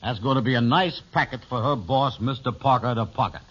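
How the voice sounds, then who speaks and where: An actor playing a slow, simple and doubtful sidekick with a plodding, folksy way of talking in an old radio sitcom. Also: male voice, mid-range voice, fairly bright tone, breathy edge. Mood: puzzled. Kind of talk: 1950s radio sitcom acting. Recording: muffled, noisy vintage radio comedy recording.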